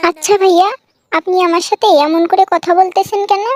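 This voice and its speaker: A woman's voice: extremely high pitch, fairly even intonation, fairly quick, a little mellow. A woman voicing a vain, pleading young would-be film heroine with a Bangladeshi accent in a comic cartoon. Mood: vain, indignant. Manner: boastful, wheedling.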